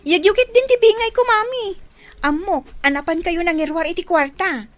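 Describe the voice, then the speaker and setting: An actress playing a spoiled, defiant student daughter in an Ilocano radio drama, pouty and whining, with an Ilocano accent. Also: female voice, extremely high pitch, moderate pace, no echo, soft background music. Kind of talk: Filipino radio drama acting.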